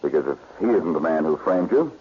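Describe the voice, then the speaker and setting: Low-voiced, wry 1940s radio detective. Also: male voice, lively intonation, brisk. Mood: confident. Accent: American. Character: confident, wry male amateur detective. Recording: dull, hissy old radio recording.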